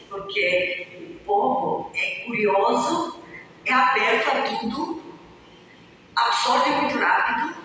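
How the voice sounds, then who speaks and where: A woman from Bulgaria, talking reflectively as a musician interviewee. She pauses often with drawn-out fillers. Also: medium pitch, sharp tone. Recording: echoey, long-reverb room, mic far off, faint hiss.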